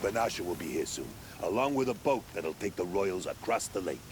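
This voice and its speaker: Gruff game fighter, low-pitched, loyal, battle-worn, noisy background. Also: male voice, expressive, a little fast. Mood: amazed relief. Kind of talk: dramatic video game acting.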